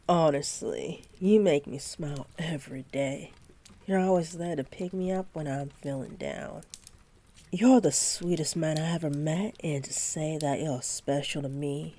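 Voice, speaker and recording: A doting young centaur girlfriend, acted by a woman, speaks in a low, dark-toned voice at a slow, unhurried pace. She addresses her partner intimately, soft and affectionate with a gently teasing sweetness, as in a roleplay audio. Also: slightly rough, heavily acted. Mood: tender, loving.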